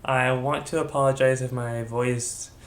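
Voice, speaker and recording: A man's voice: medium pitch, flat delivery, unhurried. A man narrating a commentary video while sick and under the weather, rambling and often correcting himself mid-sentence. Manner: rambling, with frequent restarts and self-corrections. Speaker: YouTube commentator. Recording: echoey room.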